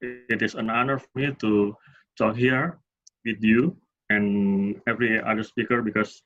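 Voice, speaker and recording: A man with an Indonesian accent giving a medical lecture as a urologist, speaking in halting, hesitant phrases. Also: on the low side, fairly even intonation. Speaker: urologist presenting at a medical webinar. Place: online webinar. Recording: dull, roomy webinar audio.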